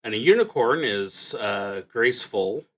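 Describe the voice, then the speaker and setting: A man streaming a solo tabletop roleplaying game, musing aloud in a halting way and reading out prompts as he plays. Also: fairly low pitch, sing-song, sharp tone. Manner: halting, musing, pauses mid-sentence.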